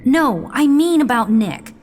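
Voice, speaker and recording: An actress playing a brisk, no-nonsense woman animal keeper in an audio drama, blunt and scolding. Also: sits high, animated, a little mellow. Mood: reproachful.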